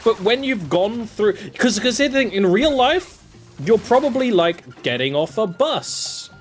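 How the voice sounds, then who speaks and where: A man's voice: very animated, unhurried. Chatty British man streaming a game. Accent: southern English. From England. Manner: rambling, chatty, with sudden jumps in pitch. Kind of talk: casual gameplay commentary.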